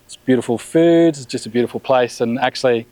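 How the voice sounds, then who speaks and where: Relaxed businessman, dry close sound. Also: mid-range voice, fairly quick, no echo. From Australia. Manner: relaxed, rambling with many restarts and fillers. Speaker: brand founder and CEO. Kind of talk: casual interview.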